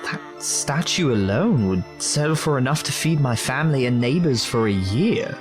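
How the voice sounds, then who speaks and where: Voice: mid-range voice, animated, normal speed, over music, close to an everyday voice. A performer in an audio drama playing an earnest, frank commoner of little means. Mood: wistful.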